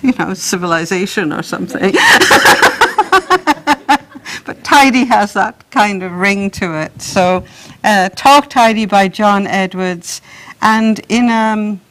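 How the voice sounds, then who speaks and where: A woman's voice: medium pitch, a little hiss or hum, low-quality recording. A middle-aged woman from South Wales, giving a lecture with anecdotes at a podium microphone in a lecture room. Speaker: composer giving a talk.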